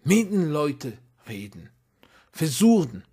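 A man preaching with a slow, husky voice pitched fairly high. German is not his first language, so he hesitates and repeats himself often. At intervals he climbs into emphatic, urgent appeals. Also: big pitch swings, dark tone. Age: adult. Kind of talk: impassioned sermon.

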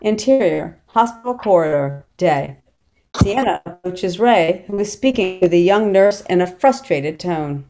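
Lower-voiced woman narrating a script. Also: lively intonation, unhurried, dark tone. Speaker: stage directions reader.

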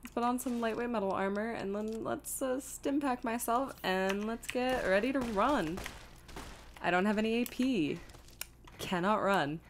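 A woman's voice: a little high, a little slow, slight background noise. A woman streaming a video game with casual commentary, thinking aloud in a self-deprecating, exasperated way.

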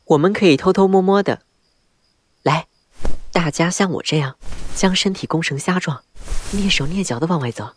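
A man's voice: on the high side, moderate pace, long pauses, a little hiss or hum, natural voice. A man playing a playful, cheeky man of ancient China in a costume audio drama, with a mock-earnest comic manner. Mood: playful instructing.

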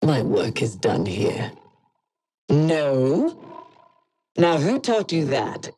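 A woman's voice: low voice, big pitch swings, mellow, breathy edge, exaggerated voice. A woman voicing a menacing imperial hunter in a video game, taunting her prey with cold contempt. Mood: scornful. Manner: slow, contemptuous, coldly threatening. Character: menacing helmeted female villain, cold imperial Jedi hunter.

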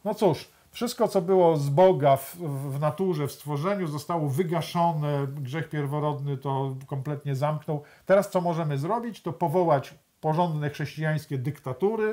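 An older man, an author, speaking in a TV studio discussion, thoughtful and halting, with frequent hesitations and restarts. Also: fairly high pitch, warm tone. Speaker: author and guest.

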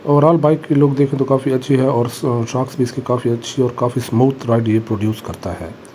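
A man's voice: mid-range voice, fairly even intonation, warm tone, slight background noise. A young man giving a casual walkaround review of a motorbike, talking plainly with some fillers. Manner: plain, matter-of-fact, pauses with fillers. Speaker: motorbike vlogger. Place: indoor motorbike showroom.

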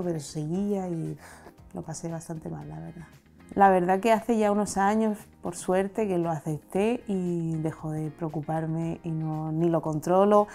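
A woman in her thirties from Madrid with a Castilian Spanish accent, telling her own story in a calm, open way. Her voice sits a little low and sounds mellow rather than bright. She is recorded on a lapel mic in a quiet studio, with faint music underneath. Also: gravelly. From Madrid, Spain. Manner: reflective, open, gesturing with her hands. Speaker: interviewee. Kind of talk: candid personal testimony.

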